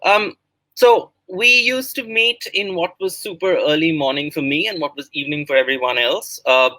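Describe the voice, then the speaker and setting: Bright-voiced Indian man, close and dry. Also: on the high side, normal speed, no echo, low-quality recording. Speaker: writer and guest speaker. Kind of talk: spoken promotional presentation of a project.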